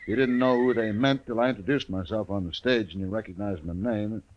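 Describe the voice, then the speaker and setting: An actor playing a hearty, skeptical Old West sheriff in a 1950s radio western, bluff and folksy, with a rural American accent. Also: male voice, on the low side, brisk, lo-fi sound, lightly acted. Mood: amused, skeptical. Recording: old radio recording with noise.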